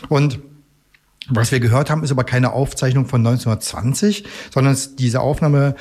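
A man giving informal, explanatory answers as an interview guest, often halting and rephrasing. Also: mid-range voice, darkish tone. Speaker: radio museum representative, interview guest.

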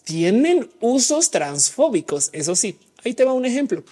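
Husky chatty woman, mixed Mexican-Colombian accent. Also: fairly high pitch, very animated, normal speed, warm tone. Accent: mixed Mexican and Colombian Spanish. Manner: casual, chatty, explains at length with slang. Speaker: livestream host. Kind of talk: live chat reading audience comments.

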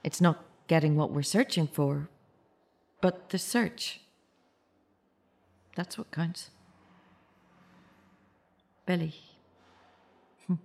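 A woman acting a bereaved, searching mother in an audio drama, naturalistic and halting, with anxious care. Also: low voice, gravelly, a little mellow, heavily acted. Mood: reflective, forgiving.

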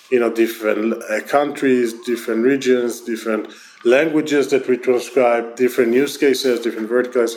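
A man with an Israeli accent, a company founder answering interview questions over an online call in a quick, loose way with frequent restarts. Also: mid-range voice, a little mellow. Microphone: remote online call.

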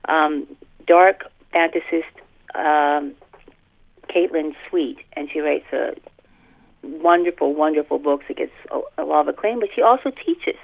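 A woman's voice: low-pitched, measured pace, vocal fry. A woman chatting casually as an interview guest, exclamatory and colloquial, repeating phrases for emphasis. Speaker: guest author. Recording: muffled phone or radio-like line.

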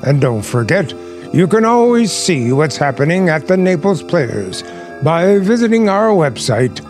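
A man's voice: fairly high pitch, big pitch swings, unhurried, darkish tone, soft background music. A man working as an announcer, reading a scripted outro for a radio theater production.

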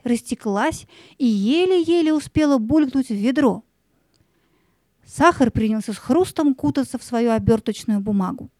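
Woman reading a kids fairy tale, lyrical storyteller, mellow voice, dry close sound. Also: high voice, no echo. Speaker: narrator. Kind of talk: scripted storybook narration for children.

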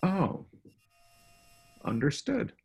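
Man doing an apologetic loving husband in a radio play, kinda rough husky voice, playful. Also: fairly high pitch, big pitch swings, very slow, darkish tone, soft background music, exaggerated voice. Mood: affectionate. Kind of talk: radio drama acting.

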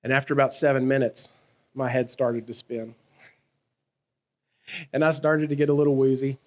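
A man, preaching a sermon in a conversational, animated way, asking his listeners questions and telling stories from his own life. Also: medium pitch, moderate pace. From American South. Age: 30s. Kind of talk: sermon with personal storytelling.

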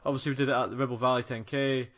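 A man's voice: medium pitch, level tone, rapid-fire, dull audio. A man co-hosting a running podcast and interviewing a guest, chatty and rambling in casual chat.

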